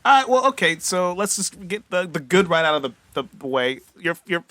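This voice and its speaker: A man's voice: high voice, sing-song, fairly quick, bright, forceful tone. A man co-hosting a podcast in loose, casual conversation. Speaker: podcast co-host. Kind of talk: casual chat.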